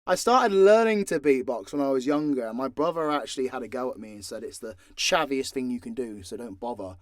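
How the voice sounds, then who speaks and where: A man's voice: mid-range voice, lively intonation, brisk, no room sound. Sweary British man, dry close recording. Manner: sweary, blunt, informal. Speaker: voice actor and channel creator answering fan questions. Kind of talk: casual unscripted Q&A chat.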